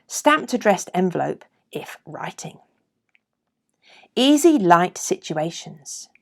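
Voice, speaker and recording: Female reader, unhurried and deliberate, lively rise and fall, a creaky edge, for scripted readings of vintage adverts. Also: on the low side. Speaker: podcast host and reader.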